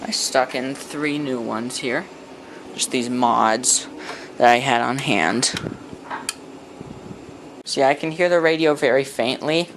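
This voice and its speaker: A man's voice: medium pitch, slow pace, long pauses. A man talking casually while he restores an old radio, thinking aloud and making chatty asides as he works. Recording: noisy workshop recording.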